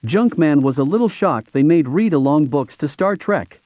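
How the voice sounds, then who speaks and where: Animated American man, tinny narrow sound. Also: a little high, normal speed. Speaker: YouTube show host. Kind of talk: scripted nostalgic narration in read-along storybook format.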